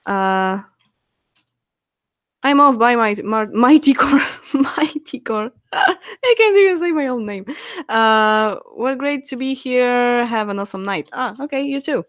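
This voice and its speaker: A Polish woman in her twenties chatting on a live stream, answering viewers' questions with frequent laughs and halting fillers. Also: fairly high pitch, animated, dry recording, clean studio sound. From Poland. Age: 20s. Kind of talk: casual live stream chat.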